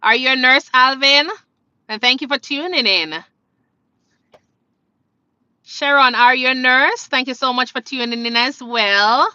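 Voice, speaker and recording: A woman's voice: sits high, measured pace, pauses often, bright, forceful tone, dry recording, lo-fi sound. A woman with a Jamaican accent hosting a casual live question-and-answer stream, chatting conversationally and addressing viewers directly. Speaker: live stream host, nurse.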